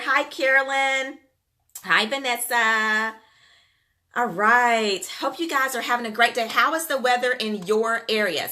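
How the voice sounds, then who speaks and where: A woman's voice: medium pitch, sharp tone. A woman with a Southern US accent, hosting a casual livestream and chatting folksily with viewers. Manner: chatty, folksy, addresses viewers as "you guys" and "y'all". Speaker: livestream host, daycare business coach. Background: Gulf Coast.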